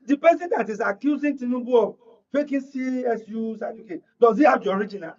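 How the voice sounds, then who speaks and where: A man's voice: extremely high pitch, fast, a little mellow. An older man with a Nigerian accent speaking as an analyst in a panel discussion, making emphatic points with anecdotes and rhetorical questions. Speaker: political analyst and panel guest. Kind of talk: panel discussion on current affairs. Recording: dull, lo-fi broadcast audio.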